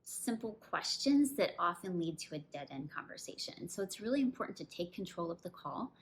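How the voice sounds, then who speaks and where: A woman dentist and coach giving an instructional presentation, explaining things clearly step by step. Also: on the low side, very animated, brisk, slight background noise, rough recording. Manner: clear step-by-step explaining, with acted example phrases.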